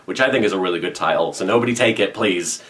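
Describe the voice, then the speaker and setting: A man's voice: sits low, quick pace, a bit bright. A man talking casually as an online video creator updating viewers, with jokey asides. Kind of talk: casual vlog channel update.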